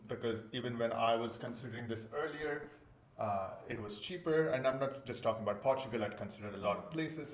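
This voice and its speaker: A man from India speaking casually as a guest in an interview, recorded in an echoing room. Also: mid-range voice. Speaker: interview guest, golden visa client. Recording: roomy, echoing indoor sound.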